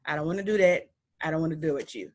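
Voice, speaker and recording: A young woman with a deep voice hosting a podcast, chatting in an animated, emphatic way with playful repetition. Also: rapid-fire. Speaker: podcast host. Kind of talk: casual chat.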